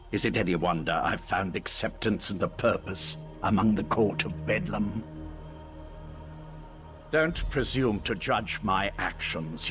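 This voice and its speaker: A man's voice: fairly low pitch, a bit bright. An actor playing a haughty, bitter cult nobleman in a video game, pompous, menacing and full of disdain. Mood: haughty, scornful. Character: haughty, bitter villain earl of a dark cult. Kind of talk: video game villain acting.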